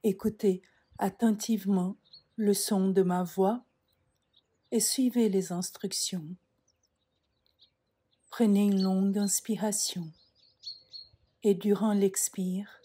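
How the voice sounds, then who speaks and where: Slow, breathy woman guiding meditation. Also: medium pitch. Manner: calm, measured instructions with frequent pauses. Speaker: yoga nidra meditation guide. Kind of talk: slow guided relaxation meditation.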